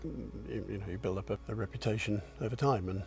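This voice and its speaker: A man in his sixties answering interview questions in a reflective, modest way, pausing and restarting as he thinks. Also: on the low side, gravelly, soft background music. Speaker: company founder and chairman.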